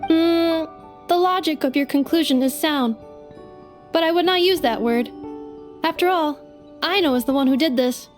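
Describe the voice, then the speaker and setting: A woman voicing a polite, logical female robot in a video game, speaking in formal, measured, computer-like phrases. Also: high-pitched, soft background music, natural voice. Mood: calm, apologetic.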